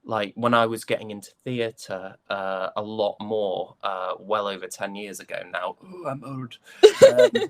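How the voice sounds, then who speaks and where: A man from Yorkshire with a softened British accent, chatting reflectively and hesitantly as an interview guest. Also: on the low side, sing-song, unhurried, no room sound. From Yorkshire, England. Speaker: interview guest, stage and voice actor. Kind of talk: casual interview chat.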